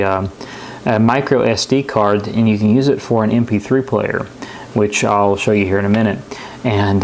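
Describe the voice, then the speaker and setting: A man's voice: lowish voice, a little mellow. A man giving a casual, unscripted hands-on product review in an easygoing, rambling way. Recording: clear radio static and noise behind. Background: shortwave radio static and stations.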